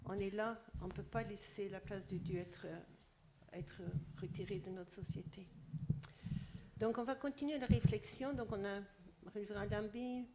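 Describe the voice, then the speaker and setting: A woman's voice: medium pitch, steady tone, normal speed, heavy background noise. Hesitant woman moderator introducing speakers. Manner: hesitant, with many restarts. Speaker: event moderator.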